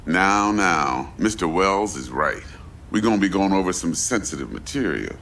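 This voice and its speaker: Deep gravelly crime boss. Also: male voice, big pitch swings, moderate pace, a bit bright, heavily acted. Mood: smooth, businesslike. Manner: smooth, commanding, folksy slang. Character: big, slick, street-smart male crime fixer boss. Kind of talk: dramatic video game acting.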